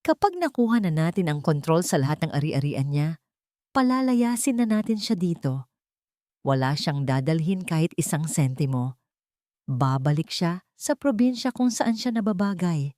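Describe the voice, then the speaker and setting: A woman's voice: on the low side, big pitch swings, normal speed, dark tone, dry recording, studio quality. A woman voicing a haughty, scheming older mother-in-law in a narrated story, serious and scornful. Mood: cold, scornful. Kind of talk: acted dialogue in a narrated story.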